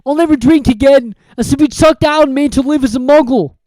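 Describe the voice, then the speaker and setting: A reader voicing a huge, soft-hearted, bearded male groundskeeper who talks loudly and roughly. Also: medium pitch, moderate pace, no echo, voice pushed up, close to an everyday voice. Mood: sobbing. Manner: loud, blubbering and rough-spoken.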